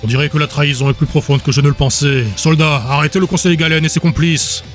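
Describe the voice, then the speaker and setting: A man's voice: fairly even intonation, fast talker, faint music behind, lightly acted. Man playing a stern, guttural old councillor. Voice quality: low, guttural. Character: scarred old councillor, commanding, suspicious, grave.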